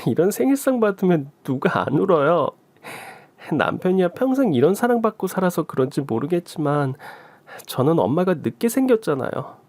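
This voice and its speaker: A man voicing a polite, tender-hearted daughter-in-law of forty in a radio story, emotional and gently protesting. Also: a little high, sing-song, normal speed, dry recording, pitched above the performer's own voice. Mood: moved, grateful. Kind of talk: Korean radio story acting.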